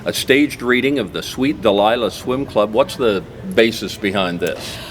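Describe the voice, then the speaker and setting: A man's voice: fairly low pitch, lively intonation, normal speed. An American man hosting a casual local interview in a folksy, chatty way. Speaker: talk show host. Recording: clear background noise.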